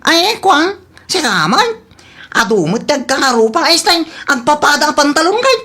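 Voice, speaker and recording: A woman's voice: high-pitched, sing-song, normal speed, bright, forceful tone, full character voice. A woman playing a cheeky, evasive young member of an Ilocano household in a comedy drama, with an Ilocano Filipino accent. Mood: nervous, evasive.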